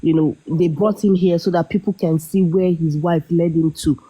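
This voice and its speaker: Husky-voiced woman host asking questions. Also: fairly low pitch, fairly even intonation, normal speed, warm tone, rough recording. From not Yoruba. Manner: questioning, prompting the guest. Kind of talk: casual chat.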